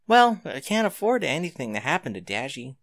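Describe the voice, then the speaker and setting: A man's voice: a little high, big pitch swings, fairly quick, dry recording, pitched above the performer's own voice. A man voicing a terse, self-critical teenage girl sniper in a story reading, with a clipped, matter-of-fact delivery. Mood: self-reproachful. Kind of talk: scripted fan fiction reading.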